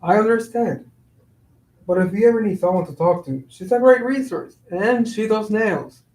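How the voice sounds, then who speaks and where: A man's voice: high voice, animated, moderate pace, a little mellow. A man acting an earnest, worried and insistent friend in a staged play reading, blunt and pressing. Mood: sympathetic. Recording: echoey room.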